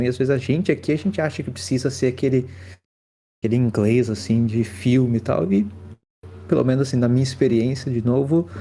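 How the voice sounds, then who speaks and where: A Brazilian man hosting a podcast, asking relaxed questions that wander and restart. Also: mid-range voice. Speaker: podcast host. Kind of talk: casual podcast interview.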